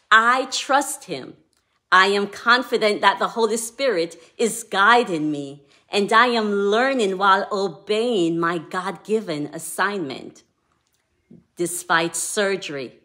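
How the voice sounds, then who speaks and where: Slow-speaking Jamaican woman devotional author. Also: medium pitch, pushed and bright. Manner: measured, deliberate reading of scripture and reflection. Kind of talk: scripted devotional talk.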